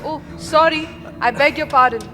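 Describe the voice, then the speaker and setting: A woman playing a gentle, kind-hearted young woman who speaks softly and earnestly. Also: sits high, normal speed, lightly acted. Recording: long reverb with music.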